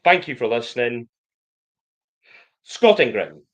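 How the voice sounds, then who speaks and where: A man speaks at a very slow, measured pace, placing each phrase carefully and now and then catching on a sound in a stammer block. His voice sits at an ordinary mid pitch. The audio is dull and lo-fi. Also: very animated, a bit bright. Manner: slow, deliberate controlled speech with occasional stammer blocks. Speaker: programme member giving a testimonial. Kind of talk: prepared personal testimony.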